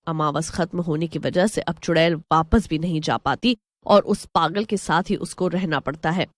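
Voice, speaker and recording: A woman's voice: sits low, normal speed, no echo, clean studio sound. A woman narrating a scripted horror story as a storyteller.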